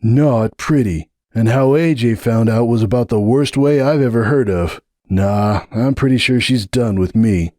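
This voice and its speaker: A man's voice: mid-range voice, a little slow, dry recording, clean studio sound, voice pushed up, close to an everyday voice. A man voicing a brash, defensive young stallion who talks in a sarcastic, defiant way in a dramatic audiobook reading. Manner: defiant, sarcastic, rising to shouts.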